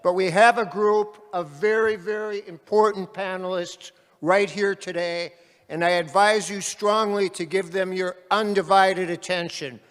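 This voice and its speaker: A man moderating a panel at an activist conference, speaking loudly and calling out to the crowd like a rally speaker. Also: fairly even intonation, a little slow, smooth. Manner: loud, booming, calls out to the crowd. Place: large conference hall. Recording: reverberant hall.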